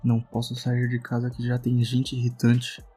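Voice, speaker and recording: A man's voice: mid-range voice, monotone, moderate pace, dark tone, breathy edge, close to an everyday voice. A man acting a reserved, anxious teenage boy, a new student, in an audio novel. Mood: dry, irritated. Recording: music under the voice.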